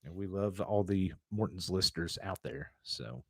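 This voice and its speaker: A man's voice: low-pitched, unhurried, a bit bright, husky, no room sound. A man co-hosting a casual livestream chat, talking in a rambling, laid-back way full of fillers. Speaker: podcast co-host.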